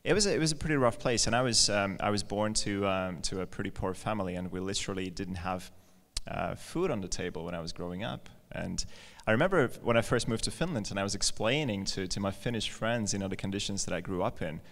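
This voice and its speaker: Guy from latvia with a deep voice, casual personal storytelling at a live event, roomy echo. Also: fast talker, hardly any pauses, a bit bright. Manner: conversational, informal. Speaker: guest speaker, company founder. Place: large indoor event space, live on stage. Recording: echoey hall, live event PA.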